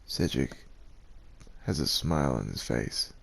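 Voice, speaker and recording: Very deep, gravelly male story narrator. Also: lively intonation, slow, a bit bright. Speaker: narrator, voice actor. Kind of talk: scripted second-person story narration for ASMR.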